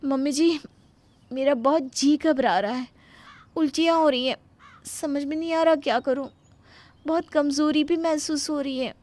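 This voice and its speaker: A woman's voice: high voice, moderate pace, long pauses, dry recording, close to an everyday voice. A woman voicing a weary young pregnant wife. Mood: uneasy. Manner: soft, fretful complaints. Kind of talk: cartoon dubbing.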